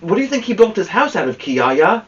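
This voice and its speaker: A man hosting a children's story time, reading aloud theatrically and pausing to address a listener. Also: high voice, big pitch swings, husky, dull audio.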